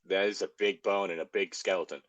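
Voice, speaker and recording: A man's voice: sits low, steady tone, a little fast, a bit bright, no echo. A man co-hosting a podcast, chatting casually and joking in a wry way over an online call. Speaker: podcast co-host. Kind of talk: casual joking group chat.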